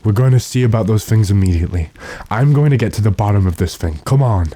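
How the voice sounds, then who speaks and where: A man playing an earnest, determined gentleman who gives commands dramatically, in the manner of an old radio drama. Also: on the low side, expressive, fast, no echo. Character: urgent, determined gentleman trapped with his manservant.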